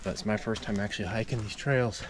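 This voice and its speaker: A man's voice: fairly low pitch, fairly even intonation, fast, noisy background. A man narrating a travel vlog in a casual, easygoing, conversational way.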